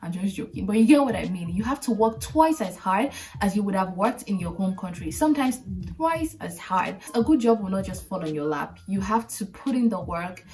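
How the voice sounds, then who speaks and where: A Nigerian woman in her late twenties hosts a casual advice vlog. She talks straight to the viewer, leaning on key words and repeating them to drive each point home. Her voice sounds a little distant from the microphone, and her pitch sits in an ordinary middle range. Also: animated, moderate pace, a little mellow. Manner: emphatic, direct, repeats points for stress. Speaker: video host.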